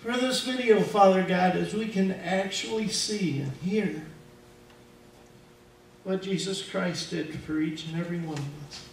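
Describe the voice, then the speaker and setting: High-pitched American pastor in echoey room. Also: male voice, measured pace, creaky. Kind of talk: sermon and spoken prayer. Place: indoor church hall, recorded from far off. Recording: echoey church room, distant mic, faint rumble.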